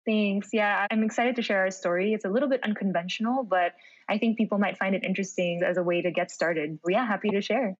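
A woman's voice: mid-range voice, a little fast, no room sound. An upbeat young woman talks as a podcast guest, filling her sentences with a casual "like". Her voice is dry and close, with a slightly dull, lo-fi edge from remote call audio. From Philippines. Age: young adult. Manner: upbeat, chatty, frequent "like". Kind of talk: casual interview chat.

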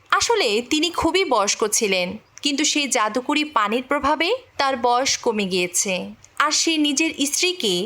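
A woman's voice: high voice, fairly bright tone. A woman narrating a film story as a scripted recap, telling the plot step by step.

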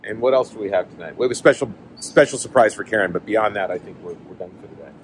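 A man's voice: on the low side, fast, slight background noise. Middle-aged man hosting a travel vlog. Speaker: vlog host.

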